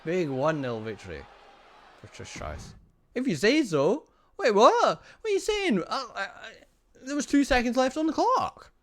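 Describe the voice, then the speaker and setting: A young man doing lively, self-amused play-by-play of a wrestling video game, repeating each call, his voice getting hoarse. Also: very high-pitched, moderate pace. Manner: excitable, repeats each call, laughs at himself. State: voice going hoarse. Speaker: gaming channel host.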